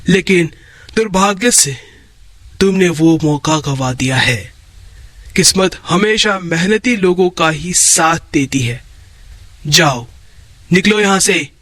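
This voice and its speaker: A man as a slow, stern old guardian. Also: a little high, fairly bright tone, no echo, heavily acted. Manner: solemn, slow, admonishing.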